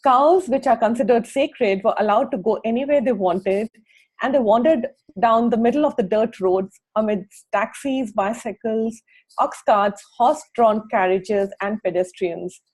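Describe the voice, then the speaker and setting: A woman co-hosting a book club, reading aloud from a book and adding informal comments in a husky voice. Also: fairly high pitch, measured pace, darkish tone, rough recording.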